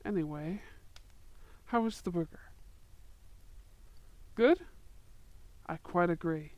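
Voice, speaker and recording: A man voicing a young male wizard courting his sweetheart in an ASMR roleplay, soft-spoken and affectionate. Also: high voice, slow pace, no echo, muffled recording. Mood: tender. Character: young male wizard, tender romantic suitor.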